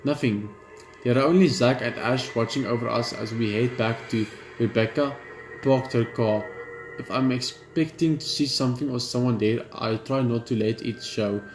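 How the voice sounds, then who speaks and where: A man gaming, reading a horror visual novel's narration aloud in a steady read, dropping blunt side remarks. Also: mid-range voice, moderate pace, echoey room, faint music behind, rough recording. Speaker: gamer.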